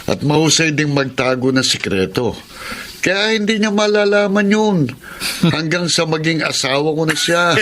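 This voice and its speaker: An actor playing a smooth-talking, scheming young Filipino boyfriend in a radio drama. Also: male voice, a little high, animated, normal speed, a bit bright. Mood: smug, scheming. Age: young adult.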